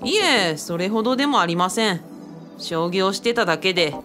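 A woman's voice: mid-range voice, expressive, moderate pace, fairly bright tone, close to an everyday voice. A woman playing a friendly, easily flustered young man in a radio drama, muttering and exclaiming with a Kyushu Japanese accent. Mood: surprised. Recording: music behind the voice. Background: music.

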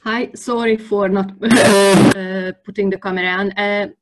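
A woman speaks briefly on a video call as vice principal, apologetic and to the point, with her voice at a middle pitch. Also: fairly even intonation, measured pace, very noisy. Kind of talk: casual video call introduction.

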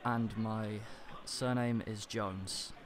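A man calling customer service with a complaint, speaking in a deadpan, deliberate and stiffly formal manner. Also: fairly low pitch. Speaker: prank caller posing as customer. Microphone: computer internet call. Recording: internet call with clear background noise.

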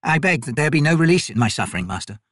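A man voicing a fearful, fawning old male trader, pleading in a strained, high voice. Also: big pitch swings, fast, a bit bright, no echo, clean studio sound.

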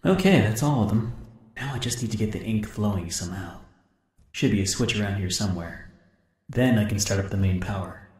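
A man's voice: fairly low pitch, a little mellow, lightly acted. An actor playing a weary, wary American man exploring a haunted cartoon studio in a video game, muttering his scripted lines in an understated way. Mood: puzzled, uneasy. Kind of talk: scripted game character dialogue. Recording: echoey game audio with long reverb.

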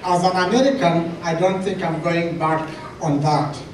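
A man's voice: a little high, moderate pace, distant mic, a little hiss or hum. Man from Somalia speaking in a hall. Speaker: community speaker. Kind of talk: prepared speech. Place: large meeting hall, indoors. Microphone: handheld mic, far camera. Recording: echoey hall, recorded from afar.

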